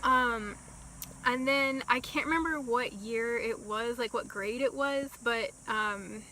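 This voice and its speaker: Young woman, slow soft storyteller, bright and a bit high, faint hiss behind. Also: rough recording. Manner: slow, soft-spoken with many ums and likes. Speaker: vlogger. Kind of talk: casual storytime.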